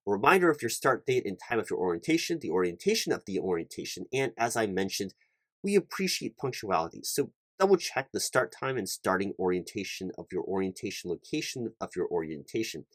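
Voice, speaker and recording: Casting: man as officious corporate hiring manager for ASMR roleplay, lowish voice, wordy and pedantic, close dry sound. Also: dry recording. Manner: rambling, repetitive, pedantic, stumbling over long lists. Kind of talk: one-sided job interview roleplay ASMR.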